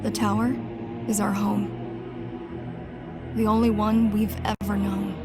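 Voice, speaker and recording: A slow female voice acted by a woman for a video game survivor narrating with resolve. She is solemn and deliberate, with quiet hope. Music is very loud behind her. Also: mid-range voice, little pitch movement, lightly acted. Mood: solemn, hopeful.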